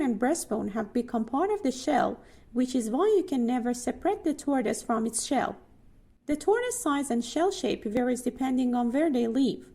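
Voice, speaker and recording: A woman's voice: high-pitched, warm tone. A woman reading short educational passages aloud as scripted narration. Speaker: pronunciation practice narrator.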